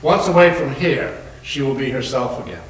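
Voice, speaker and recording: A man playing a gentle but firm paternal doctor in a staged dramatic reading. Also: mid-range voice, big pitch swings, normal speed, lightly acted. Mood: insistent. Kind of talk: readers theater, dramatic reading. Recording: very echoey hall, distant mic, noisy.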